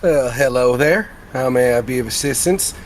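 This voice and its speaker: A man's voice: mid-range voice, normal speed. A man roleplaying in a tabletop game, with somewhat formal, wordy phrasing.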